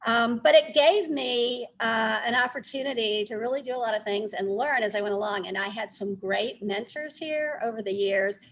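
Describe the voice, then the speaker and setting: A middle-aged woman with a Texas accent, speaking informally and haltingly as a guest recounting her career. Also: fairly high pitch, slight background noise, low-quality recording. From Texas, United States. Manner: halting, conversational. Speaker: newspaper publisher, guest speaker.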